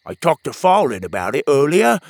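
A man's voice: on the high side, sing-song, fairly quick, vocal fry, no echo, pitched above the performer's own voice, full character voice. A cheery, teasing young lad, as a man voices him for a storybook, turning every r into a w. His voice is raised and bounces around a lot. It is recorded close and dry. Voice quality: turns r into w. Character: cheerful young male friend with an r-to-w lisp. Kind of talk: storybook character voice.

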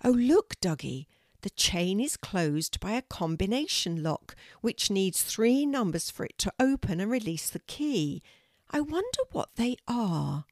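A woman's voice: medium pitch, sing-song, measured pace, darkish tone, no room sound, clean studio sound. A woman voicing an eager, earnest young girl in a children's storybook, careful and a little unsure. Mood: curious.

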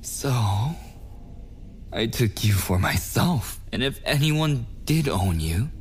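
A man acts out a possessive, murderous yandere boyfriend for an ASMR audio roleplay. He speaks very slowly, with lively swings of pitch, sliding between menace and whispers. A faint noise sits behind the voice. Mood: quietly menacing. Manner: slow, drawn-out menace slipping into whispers and giggles.

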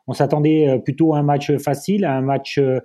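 A football pundit whose first language is German talks things over in a casual podcast. His voice is deeply dark and mellow, and he pauses often, restarting and repeating words as he goes. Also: level tone.

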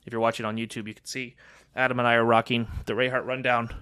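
A man's voice: mid-range voice, little pitch movement, fast talker, pushed and bright, smooth, no room sound. A man co-hosting a podcast, talking frankly and chattily with stumbling restarts. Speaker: podcast co-host. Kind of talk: casual chat.

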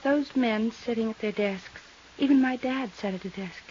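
An actress playing a haunted, unstable young widow with deliberate, intense delivery and loaded pauses in a 1950s radio drama. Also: female voice, on the high side, a little mellow, lightly acted. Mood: brittle, intense. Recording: muffled, hissy vintage radio recording.

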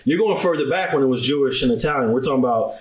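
A man's voice: medium pitch, animated, racing, echoey room, a crowd in the background, rough recording. A man from New York, a podcast guest, explaining at length with dates and facts in a casual interview.

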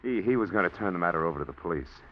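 An actor playing a nervous embezzler confessing to murder, halting and stammering, in an old radio drama. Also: male voice, deep voice, animated, a little hiss or hum. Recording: dull old radio broadcast.

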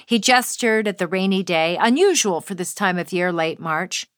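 A woman's voice: fairly low pitch, normal speed, fairly bright tone, dry recording. A woman writer reading her own first-person essay aloud with wry, dry humor.

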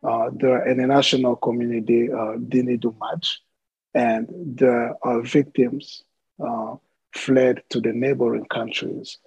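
A man from the Democratic Republic of Congo, a podcast guest telling his life story in a halting way, with many filler sounds. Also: medium pitch, level tone, gravelly, darkish tone, husky, no room sound, lo-fi sound.

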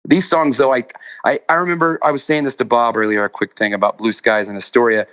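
A man chatting casually as a musician guest in an interview, with a halting, rambling way of talking that often restarts mid-thought. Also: mid-range voice, fairly even intonation, fairly bright tone, muffled recording. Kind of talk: casual remote interview chat.

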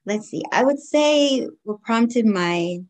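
A woman's voice: fairly high pitch, animated, takes it slowly. A woman in her forties from Guyana, raised in the United States, answering interview questions in a thoughtful, candid way. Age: 40s. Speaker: podcast guest.

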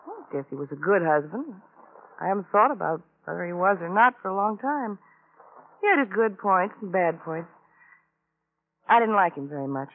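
An actress playing a weary, emotionally numb widow in an old-time radio drama, detached and halting in her phrasing. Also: medium pitch, very animated. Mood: numb, bitter. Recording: tinny, narrow-band vintage radio recording.

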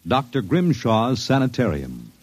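An actor as a solemn, portentous announcer introducing a mystery in dramatic old-time radio style. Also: male voice, mid-range voice, big pitch swings, measured pace. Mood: ominous. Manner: grave, portentous. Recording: vintage radio recording with loud music.

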